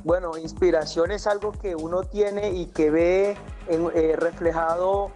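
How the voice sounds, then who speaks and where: A man's voice: high-pitched, fairly even intonation, measured pace, dark tone. Male interview guest, around forty, Colombian accent, casual conversational answers, faint music behind him. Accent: Colombian Spanish. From Santander, Colombia.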